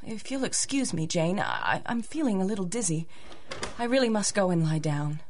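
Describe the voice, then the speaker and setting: A woman's voice: mid-range voice, sing-song, moderate pace, darkish tone, a little hiss or hum, close to an everyday voice. A woman playing a genteel, evasive young lady of a manor house in a radio drama, polite and hesitant. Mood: defensive, evasive. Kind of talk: 1930s-style radio drama acting.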